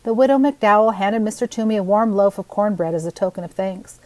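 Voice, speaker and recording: An older woman reads a children's story aloud. Her narration is expressive, at a medium pitch. The recording is dry, with little room sound. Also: a little fast, no room sound. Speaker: storybook reader.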